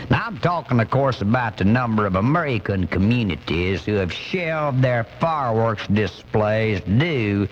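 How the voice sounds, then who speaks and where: A man's voice: on the low side, a little hiss or hum. A man playing an angry, blustering redneck commentator in a comic radio monologue, ranting in folksy, ungrammatical indignation. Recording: muffled off-air cassette radio recording, music.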